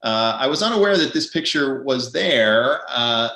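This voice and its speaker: A man's voice: mid-range voice, animated, measured pace, sharp tone, roomy sound. A man in his fifties co-hosting an online business event, chatting casually with a rambling, self-deprecating humor. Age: 50s. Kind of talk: casual webinar chat.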